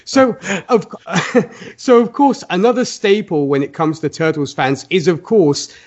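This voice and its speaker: A man's voice: on the high side, dull audio. A man hosting a casual film discussion podcast, talking in a rambling, hedging way and often restarting his sentences. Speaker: podcast host.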